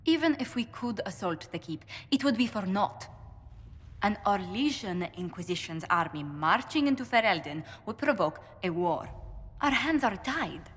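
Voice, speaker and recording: An actress playing a formal, articulate, exasperated diplomat with a husky voice in a fantasy video game. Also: female voice, mid-range voice, sing-song, normal speed, a bit bright. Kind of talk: dramatic video game acting. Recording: long hall reverb.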